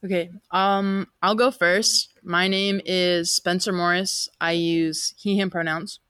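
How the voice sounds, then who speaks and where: A man's voice: sits high, level tone, takes it slowly, sharp tone, smooth, no room sound, studio quality. A young man, a college freshman, speaking hesitantly with many "like" fillers. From North Carolina and West Virginia. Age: young adult.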